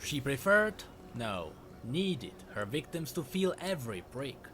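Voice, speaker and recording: A man narrating a dark fantasy story from a script in a dramatic storytelling style. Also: medium pitch, very animated, measured pace, slight background noise. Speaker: lore narrator.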